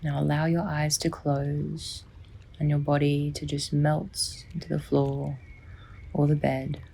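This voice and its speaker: A woman softly and soothingly narrating a guided sleep meditation, unhurried, with long pauses and a breathy, near-whispering delivery. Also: sits low, flat delivery. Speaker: psychologist guiding a sleep meditation.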